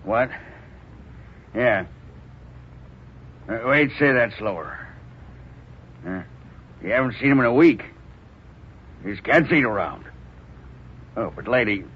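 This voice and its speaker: A gruff police desk captain in an old radio play. He talks in blunt slang with a husky, mid-pitched voice on a dull vintage recording. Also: lively intonation, slow, pauses often. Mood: weary, curt. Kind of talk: 1940s radio drama acting.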